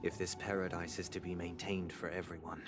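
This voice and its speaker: A man voicing a serene, eloquent game villain, speaking in a solemn, measured, oratorical way. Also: low voice, level tone, natural voice. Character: serene, eloquent male villain preaching an eternal dream paradise. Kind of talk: theatrical video game dialogue. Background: prominent game music.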